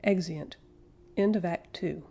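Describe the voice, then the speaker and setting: A woman's voice: on the low side, unhurried, mellow. A woman narrator giving a scripted reading of stage directions and headings for a play.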